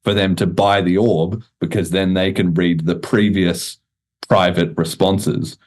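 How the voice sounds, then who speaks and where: A man's voice: sits low, fairly even intonation, a little slow, a little mellow. A man hosting a podcast, explaining things in a casual, informal way with the odd swear word. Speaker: podcast host.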